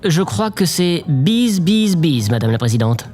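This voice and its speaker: Actress as low-voiced, awkward, servile assistant. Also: female voice, sing-song, dark tone, soft background music. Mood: awkward, hopeful. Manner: deferential, halting, socially awkward. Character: awkward, morbid, servile presidential assistant Babylone, oddly intense. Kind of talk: comedic audio drama acting.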